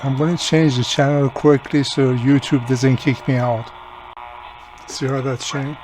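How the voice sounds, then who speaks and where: A man's voice: mid-range voice, flat delivery, measured pace, over music, poor audio. A man giving a casual hands-on repair demonstration, explaining each step plainly as he works. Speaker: radio repairer and video host.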